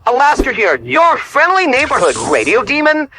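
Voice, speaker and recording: High, swooping radio demon showman. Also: sing-song, normal speed, vocal fry, noisy background, full character voice. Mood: gleeful showmanship. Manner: showy, theatrical announcer delivery. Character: gleeful, theatrical male radio demon host, devilishly polite. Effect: AI voice changer. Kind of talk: old-time radio showman patter.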